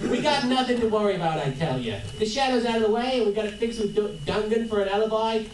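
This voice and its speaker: A man's voice: high voice, lively intonation, quick pace. A man playing a cocky, bossy, dim gangster in a comic radio crime drama, blustering in tough-guy slang. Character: cocky, bossy, not-too-bright male gangster. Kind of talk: live comic reading of an old-time radio crime drama. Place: convention panel room. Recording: roomy echo, distant mic, faint noise.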